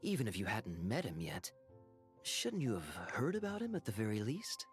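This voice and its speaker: Laid-back tavern drinker in a game, teasing, super swingy delivery, faint music. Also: male voice, lowish voice, huge pitch swings, moderate pace. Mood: puzzled. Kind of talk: animated game voice acting.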